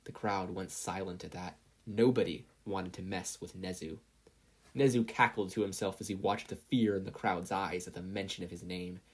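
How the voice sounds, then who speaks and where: A woman's voice: very deep voice, fairly even intonation, moderate pace, slightly rough. A woman narrating a scripted fan-fiction story as a podfic reader.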